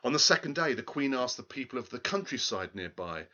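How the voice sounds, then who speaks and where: A man's voice: mid-range voice, big pitch swings, brisk, bright, forceful tone. A man reading a children's story aloud in a dramatic, emphatic way, on a dull, lo-fi recording.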